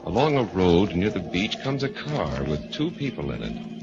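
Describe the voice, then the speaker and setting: A man's voice: low voice, very animated, moderate pace. A man playing an ominous, portentous narrator of 1940s radio horror tales, on a muffled old recording. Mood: dramatic. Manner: portentous, dramatic. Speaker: narrator and announcer of horror anthology.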